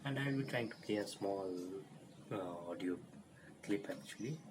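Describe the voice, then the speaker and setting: Low-voiced man explaining haltingly. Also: background noise. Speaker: presenter of an electronics demo. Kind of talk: informal technical walkthrough. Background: music playing from the demo.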